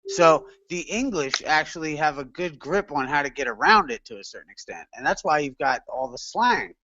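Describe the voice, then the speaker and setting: A man's voice: on the high side, expressive, normal speed, bright, forceful tone, dry recording, dull audio. A man chatting casually as a panelist in an online hangout, rambling and profane, with lots of fillers. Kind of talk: casual chat.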